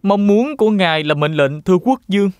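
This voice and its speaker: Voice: medium pitch, expressive, fairly quick, a little mellow, natural voice. An actor playing an earnest, polite young male hero in a children's cartoon dub, speaking formally. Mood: earnest, respectful. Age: young adult.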